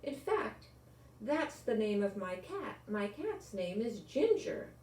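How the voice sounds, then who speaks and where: A woman's voice: mid-range voice, big pitch swings, takes it slowly, darkish tone. An older woman voicing a big, vain, dramatic lady in a children's storybook, with gushing emphasis. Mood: prim, offended. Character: big, dramatic, vain lady customer doting on her cat. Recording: echoey room, distant microphone.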